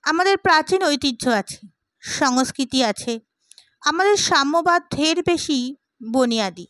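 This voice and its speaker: Audiobook role: opinionated idealist gentleman played by a woman, high-pitched, emphatic speechmaker, dry sound. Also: lively intonation, measured pace, no echo. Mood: fervent, proud. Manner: oratorical, emphatic. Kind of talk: dramatised audiobook character.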